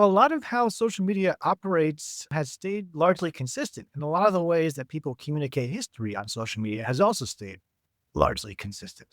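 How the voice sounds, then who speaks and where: A man who is a guest author, speaking hoarse and gravelly while getting over an infection, explaining his ideas in a measured, casual podcast interview. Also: fairly high pitch, fairly bright tone, no room sound, clean studio sound.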